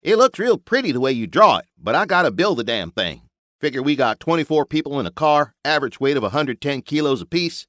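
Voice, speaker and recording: A man's voice: fairly high pitch, very animated, fairly quick, a bit bright, no room sound, clean studio sound, voice pushed up. Man doing a drawling backwoods mechanic. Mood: blunt. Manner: drawling rural dialect. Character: folksy bearded male mechanic, blunt.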